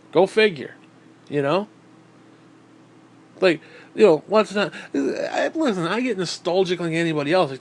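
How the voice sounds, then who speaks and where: A man with a stuffed-up, nasal voice, talking in a bitter, profane, rambling monologue into a tablet. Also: a little high. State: stuffed-up nose. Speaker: vlogger.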